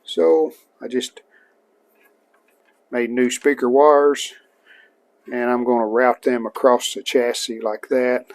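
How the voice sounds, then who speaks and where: A man's voice: mid-range voice, flat delivery, slow pace, long pauses. A man casually narrating his own hands-on restoration work as a hobbyist host, in a plain-spoken, show-and-tell way.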